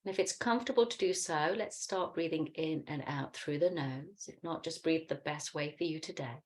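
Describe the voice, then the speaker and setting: Meditation teacher: middle-aged woman, low register, calm and soothing, unhurried guided instruction.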